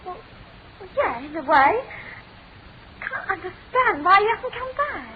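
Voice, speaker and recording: A scared young woman stammers defensively in a radio drama, her very high voice swinging up and down. She sounds breathless. The recording is tinny and noisy. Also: big pitch swings, unhurried, crowd noise, exaggerated voice. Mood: frightened. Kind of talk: British radio drama acting.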